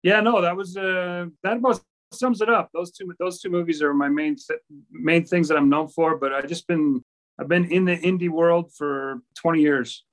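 A middle-aged man from the American Midwest chats as an interview guest. His voice is a little higher than most men's, and he rambles informally, looping back over his phrases. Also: fairly even intonation, normal speed, poor audio. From US Midwest, grew up in Minnesota. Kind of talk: casual conversational recollection.

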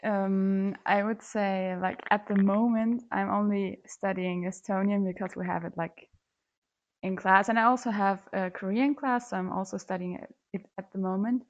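A woman's voice: medium pitch, fairly even intonation, moderate pace. Woman, chatty, muffled audio. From Germany. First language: German. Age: 20s. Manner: chatty, frequent "like" fillers. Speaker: university student guest. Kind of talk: podcast interview answers.

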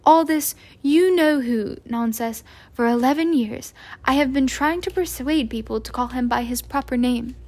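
A woman voicing a calm, gently amused old male wizard headmaster, in a mild, unhurried way. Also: high voice. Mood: gentle.

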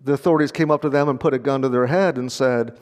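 A man's voice: mid-range voice, steady tone, quick pace, darkish tone, big-room sound. A man preaching a sermon in an earnest, conversational way, with small laughs as he talks. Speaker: pastor.

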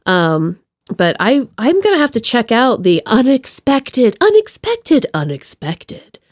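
A woman interviews casually as a podcast host. Her voice is fairly high and very animated, with lots of melodic movement, and the sound is dry. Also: slow, no echo.

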